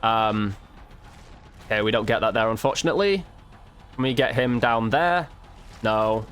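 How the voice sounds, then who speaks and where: A man's voice: lowish voice, lively intonation, normal speed, a bit bright, smooth. A man commentating casually over gameplay as a content creator, chatting informally and thinking aloud.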